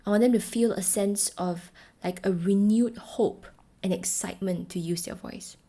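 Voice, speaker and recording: A young woman from Singapore with a Singaporean English accent, hosting a solo podcast as a voice coach, speaking clearly and encouragingly. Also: mid-range voice, normal speed. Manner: clear, encouraging, shifts between firm and gentle tones.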